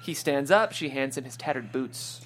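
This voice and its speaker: A man's voice: mid-range voice, lively intonation, normal speed, fairly bright tone, slight background noise. A man hosting a script reading and narrating stage directions in a descriptive storytelling style.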